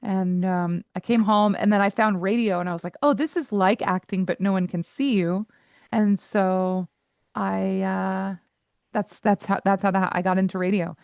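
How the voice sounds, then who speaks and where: A woman in her forties, a voice coach and guest in an interview, who talks candidly in quick asides and laughs easily. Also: mid-range voice, fairly even intonation, darkish tone, clean tone, no room sound, clean studio sound.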